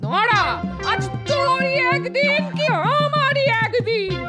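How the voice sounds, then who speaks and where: A woman voicing a bossy, quarrelsome old granny, the elder sister, in a cartoon. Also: slow. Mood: scolding. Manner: scolding, shrill, quarrelsome. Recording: cartoon voice over loud music.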